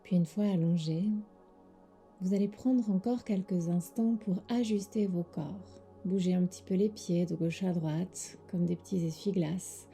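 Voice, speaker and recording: Female meditation guide: soft, lowish, husky-breathy and creaky, very dark tone, soothing delivery with pauses over faint music. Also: steady tone. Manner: soothing, gentle instructions with pauses. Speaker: yoga nidra teacher.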